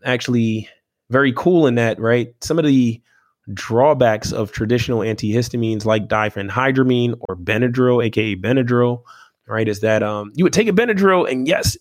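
A man's voice: medium pitch, no echo. Man explaining medicine in a dry, close recording. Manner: measured, teaching tone with frequent "right?" and "um". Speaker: medical expert co-host. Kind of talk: explanatory health podcast talk.

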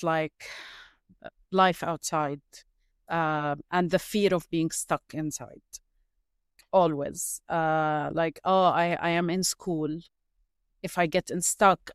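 Woman from palestine, young writer, kinda low smooth voice, halting and reflective, picks words carefully. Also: no room sound, clean studio sound. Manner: thoughtful, halting, searching for words. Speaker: writer, interview guest. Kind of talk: reflective interview answer.

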